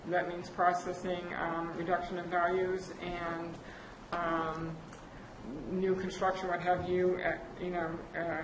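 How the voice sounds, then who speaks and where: Woman presenter, low-ish and flat, hesitant delivery, echoey hall with fan noise. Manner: halting, many fillers and restarts. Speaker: county budget presenter. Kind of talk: public meeting presentation. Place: county board meeting room. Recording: echoey chamber with air conditioner noise.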